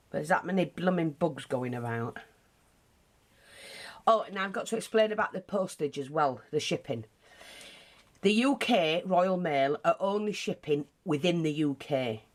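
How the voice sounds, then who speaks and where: Chatty British craft teacher with a blocked nose. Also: medium pitch. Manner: chatty, rambling with asides. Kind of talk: casual craft tutorial.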